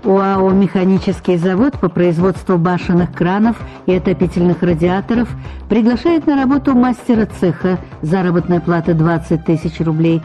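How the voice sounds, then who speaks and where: A woman's voice: on the low side, moderate pace, dark tone, faint music behind. A woman radio announcer reading a scripted job advertisement.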